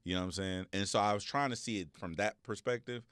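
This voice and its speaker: A man's voice: on the low side, fast talker, a bit bright, clean tone, no echo, studio quality. An American man co-hosting a talk show, giving casual, reflective commentary in a hedging, conversational way.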